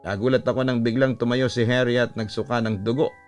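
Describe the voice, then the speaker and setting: A man's voice: mid-range voice, steady tone, a little fast, faint music behind. A man, a radio announcer, reading a first-person horror story as dramatic narration.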